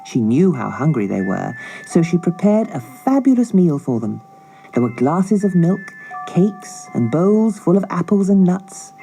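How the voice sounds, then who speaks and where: Woman storyteller, lowish voice, highly animated intonation, measured dramatic fairy-tale narration, music underneath. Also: gravelly, low-quality recording.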